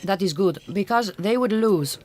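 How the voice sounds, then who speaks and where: A woman's voice: medium pitch, animated, normal speed, background noise, lightly acted. Actress as proud, reserved Hungarian woman. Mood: frank, proud. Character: glamorous, proud, reserved Hungarian migrant woman, grieving mother. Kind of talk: radio drama acting.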